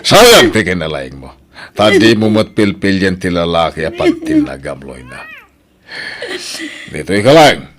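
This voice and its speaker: A man's voice: low-pitched, wildly swooping pitch, a little slow, fairly bright tone, full character voice. An actor playing a stern, angry rich father in a radio drama, scolding and domineering, with an Ilocano accent. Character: stern, angry rich father forbidding his daughter's romance.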